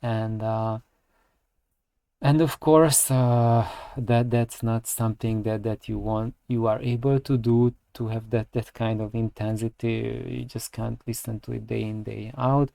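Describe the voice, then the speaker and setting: A man giving unscripted technical opinion and advice as a channel host, speaking haltingly and often repeating words as he goes. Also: mid-range voice, level tone, vocal fry, warm tone, no room sound. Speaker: audio review channel host.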